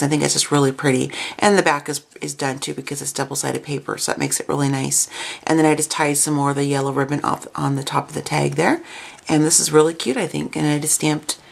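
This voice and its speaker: A woman with a raspy, slightly cold-affected voice giving a casual, chatty craft demonstration. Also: deep voice. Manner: chatty, informal, explanatory. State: coming down with a cold. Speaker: craft video host.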